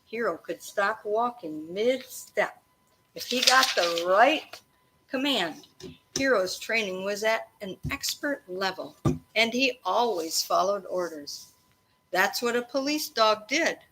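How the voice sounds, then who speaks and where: A woman teacher reading a storybook aloud to children expressively, pausing to explain and prompt her listeners. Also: mid-range voice, lively intonation, poor audio. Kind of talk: interactive children's read-aloud of a storybook, with questions and asides. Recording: faint background noise.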